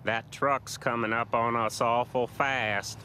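An actor playing a folksy, rueful driver in an animated comedy, with vehicle noise behind him. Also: male voice, medium pitch, slow pace, natural voice.

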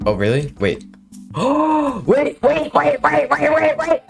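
Excitable young man gaming in voice chat. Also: very high-pitched, takes it slowly, creaky, soft background music. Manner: excitable, repeats words in bursts. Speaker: streamer narrating his episode. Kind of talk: casual narration and voice chat.

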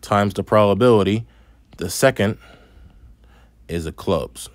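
Male math teacher, lowish voice, slight lisp, patient step-by-step delivery, dry close-mic voice-over. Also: a little slow, no room sound. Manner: patient, step-by-step explaining with asides. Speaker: math instructor. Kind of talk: screen-recorded math lesson.

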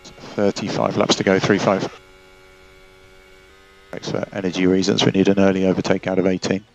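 A man working as race engineer, calmly giving gaps and instructions over team radio and repeating the key numbers. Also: low voice, moderate pace, vocal fry, highs cut off.

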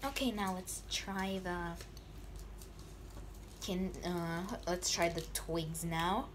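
A woman's voice: lowish voice, fairly even intonation, slow, noisy background. A woman unboxing candy on camera in a casual vlog, joking and pretending to be scared. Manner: playful, mock-scared squeals and jokes. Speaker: vlogger. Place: indoors at a table. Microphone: phone camera held over a table.

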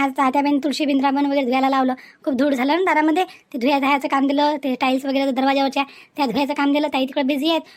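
A woman's voice: high-pitched, natural voice. A woman voicing a busy young housewife in a family cartoon, chattily listing tasks. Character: busy, bustling young housewife organising chores. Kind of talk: cartoon family comedy acting.

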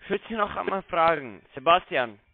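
A man's voice: on the high side, animated, moderate pace, vocal fry, slight background noise. A non-native German-speaking man working as a phone sales agent, bluntly pressing the listener over a muffled phone line. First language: not German. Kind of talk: pushy phone sales call.

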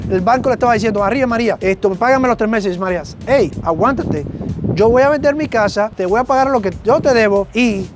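A man, hosting an on-camera chat outside a house as a real estate agent, speaking in a persuasive salesman style full of questions. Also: high voice. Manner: fast, persuasive salesman patter with rhetorical questions. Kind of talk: on-camera interview at a home handover. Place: outdoors in front of a house. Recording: outdoor street noise.

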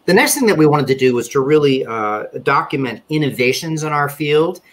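A middle-aged man giving a webinar presentation on a video call, speaking in a measured way with frequent fillers and restarts. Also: fairly high pitch, animated, a bit bright. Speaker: conference panelist presenting survey results. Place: indoor office or home room.

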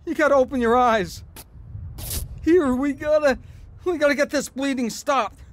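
A man's voice: extremely high pitch, normal speed. A man with an American accent acting a proud, cynical, stubborn older brother in a first-person audio drama. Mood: panicked, pleading. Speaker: actor narrating and playing the lead.

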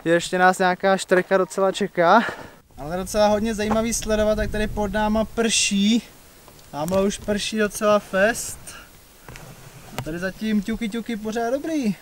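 Young male hiking vlogger, noticeably high voice, informal and slangy, recorded at arm's length outdoors. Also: steady tone. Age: young adult. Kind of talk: casual vlog chat. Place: outdoors on mountain and forest trails. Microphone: handheld camera at arm's length.

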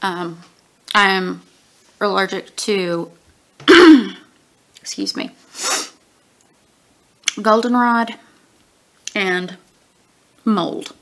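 A woman's voice: mid-range voice, expressive, very slow, long pauses, a bit bright. A woman in her forties with allergy sniffles, chatting through a casual book review at home with lots of ums, recorded on a webcam at desk distance. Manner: chatty, rambling, frequent ums and throat-clearing. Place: small room at home, indoors.

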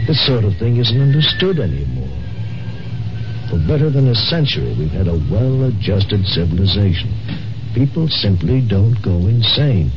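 An actor playing a civilized, concerned man of a future society, speaking formally in 1950s radio drama style. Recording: noisy, dull old radio recording, vehicle rumble.

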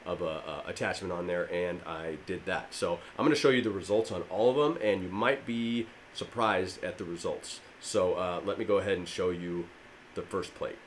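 A man in his thirties with a slightly raspy voice, casually explaining a DIY project to camera in his home garage gym, with plenty of fillers. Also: deep voice, normal speed, slight background noise. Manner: rambling, filler-heavy, friendly explainer. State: allergies. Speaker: fitness DIY channel host. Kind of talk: casual how-to talk to camera.

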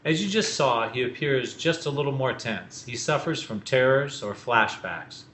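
A man's voice: medium pitch, animated, normal speed, fairly bright tone. An older man presenting an educational explainer in a small office. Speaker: presenter, mental health educator. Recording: roomy echo with faint noise.

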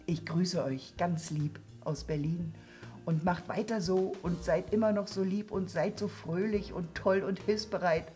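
An older woman, a puppeteer, giving friendly, casual greetings in a soft voice. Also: lowish voice, steady tone, unhurried, soft background music. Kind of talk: casual farewell. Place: dark theatre stage.

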